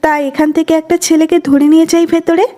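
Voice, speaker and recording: A woman's voice: very high-pitched, level tone, fast, dark tone. A woman playing a bossy, jealous and playful young woman in love in an audio drama. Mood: playfully demanding. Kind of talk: dramatised audio story dialogue.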